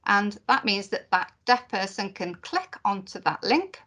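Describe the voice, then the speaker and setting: A woman voicing a deaf presenter's signed conference talk into spoken English, in short phrases with pauses and fillers. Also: medium pitch, big pitch swings, bright, forceful tone. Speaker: sign language interpreter voicing a deaf presenter. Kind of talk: live voiced interpretation of a conference talk.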